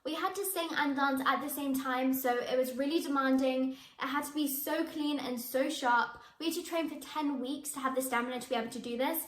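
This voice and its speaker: A teenage girl talks about her acting work, bubbly and eager. Her voice sits high. The room around her adds a noticeable echo. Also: brisk, hardly any pauses. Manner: bubbly, enthusiastic, with frequent laughs. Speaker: young actress. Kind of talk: answering interview questions to camera. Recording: echoey room.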